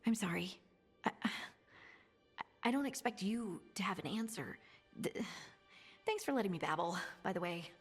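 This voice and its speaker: An actress voicing a dreamy, earnest dwarf woman scholar in a video game, musing haltingly in a breathy voice. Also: medium pitch, lively intonation. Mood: earnest, uncertain. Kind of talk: video game dialogue acting. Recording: long hall reverb, music underneath.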